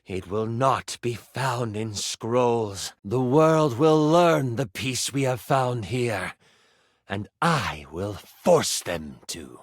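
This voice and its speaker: A man voicing an evil, gloating enchanter woman fused with a djinn, in a drawn-out theatrical game monologue. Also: mid-range voice, dry recording, studio quality, voice pushed down. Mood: menacing.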